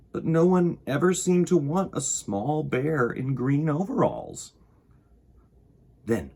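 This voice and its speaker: Male children's storyteller, dramatic and very animated in pitch, slightly husky tone. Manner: expressive, dramatic. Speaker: storytime host and reader. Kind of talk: chatty intro and animated children's storybook reading.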